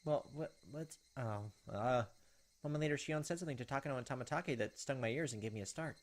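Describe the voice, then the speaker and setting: Fast-talking man doing game commentary. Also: mid-range voice, slight background noise. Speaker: gaming video host. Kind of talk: casual playthrough commentary and reading game text aloud.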